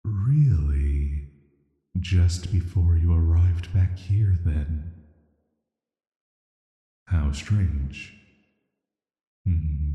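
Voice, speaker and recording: A man acts out an ancient sealed-away villain in a soft audio roleplay, resigned yet quietly menacing. The voice is very low and dark, flat and measured, hanging in long, echoing reverb. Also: dull audio. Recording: roomy echo with long reverb.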